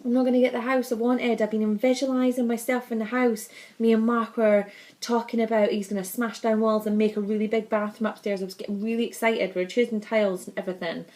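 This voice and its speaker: Young Scottish woman vlogger, chatty and bubbly, slightly high voice. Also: flat delivery, fast, darkish tone. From Scotland. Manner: chatty, bubbly, laughs often. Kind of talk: casual chat to camera.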